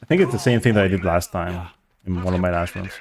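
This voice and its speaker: A man's voice: deep voice, fast talker, a little mellow. A man streaming a speedrun, chatting casually and rambling as he searches for words. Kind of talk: casual chat while playing.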